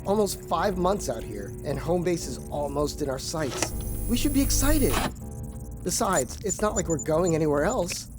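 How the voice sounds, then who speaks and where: A man's voice: fairly high pitch, big pitch swings, normal speed. A man playing a breezy, slightly hesitant spaceship co-pilot in a sci-fi radio drama. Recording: music under the voice.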